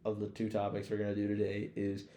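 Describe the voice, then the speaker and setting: A man with a low, dark voice co-hosts a casual podcast. He talks in a rambling, slangy, sweary way, like a keen fan. The sound is echoey, as in an untreated room. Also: completely flat delivery, fast talker. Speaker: podcast co-host. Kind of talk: casual chat, profanity-laden.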